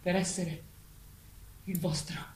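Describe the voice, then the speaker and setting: A fervent female leader of farm animals, heard from a distance in an echoey, noisy space. She preaches in solemn, slow theatrical oratory, posing as a saviour of her flock. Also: fairly low pitch, steady tone, a little mellow. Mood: solemn, grave. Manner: solemn, preachy oratory rising to impassioned cries. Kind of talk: theatrical stage monologue. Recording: echoey, noisy stage recording from afar.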